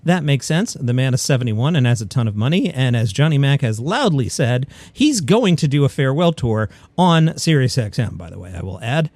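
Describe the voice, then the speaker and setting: A man's voice: mid-range voice, big pitch swings, a little fast, few pauses, a little mellow, no echo. A man hosting a comedy news podcast, reading stories and adding wry, opinionated asides. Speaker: podcast host. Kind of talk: comedy news commentary, partly scripted.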